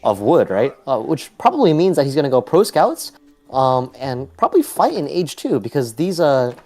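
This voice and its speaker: A man's voice: medium pitch, sing-song, normal speed, darkish tone. A young man co-casting a game match, talking loosely with lots of fillers as he breaks down strategy. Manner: loose, chatty, many "like" and "uh" fillers. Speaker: co-caster. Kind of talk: casual game analysis.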